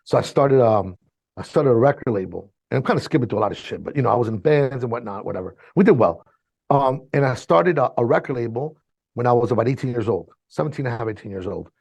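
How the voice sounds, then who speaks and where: Guy in his 50s, spanish first language, talks fast with a gravelly fry, slangy and animated. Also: medium pitch, dry recording. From born in Chile, raised in Miami. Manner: animated, slangy, swears freely. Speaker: mixing engineer guest. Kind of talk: casual storytelling conversation.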